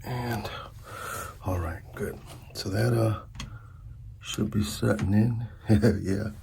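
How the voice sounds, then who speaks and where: A man's voice: on the low side, fairly even intonation, slow pace, vocal fry, noisy background. A middle-aged man in an ASMR roleplay as a dentist, talking informally and teasingly to the listener as his patient. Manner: informal, hesitant, teasing chairside patter. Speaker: ASMR performer playing a dentist.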